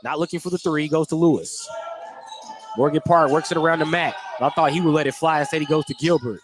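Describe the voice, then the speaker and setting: A man's voice: a little high, fast talker, music in the background. A man doing live play-by-play radio commentary on a basketball game in clipped running descriptions. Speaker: play-by-play announcer. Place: indoor school basketball gym. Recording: noisy gym behind the voice. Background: loud gym noise, bouncing basketballs.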